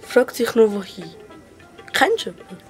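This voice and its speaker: A woman's voice: on the high side, very animated, moderate pace, faint music behind. A woman with a Swiss German accent playing a clever, cautious schoolboy sidekick in a children's radio drama. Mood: smug.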